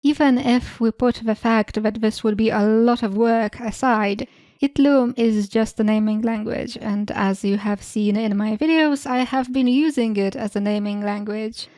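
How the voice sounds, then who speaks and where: A young woman with a Polish accent reads her channel's questions and her own answers in a calm, matter-of-fact way. Her voice sits fairly high but has a soft, mellow tone. The recording is dry and close, with no room sound. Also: measured pace, dry recording. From Eastern Europe. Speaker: channel host. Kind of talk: scripted Q&A narration.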